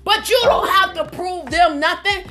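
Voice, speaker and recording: Very high-pitched woman preaching fervently. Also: normal speed. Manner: exclamatory, with shouted praise and frequent interjections. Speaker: preacher leading a phone prayer line. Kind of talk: fervent charismatic sermon and prayer. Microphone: phone call on a prayer line.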